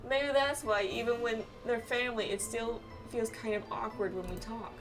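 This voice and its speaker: A woman's voice: a little high, fairly even intonation, normal speed, faint music behind, lightly acted. Woman reading as a wistful young fantasy clanswoman, slightly distant. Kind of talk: reading game dialogue aloud.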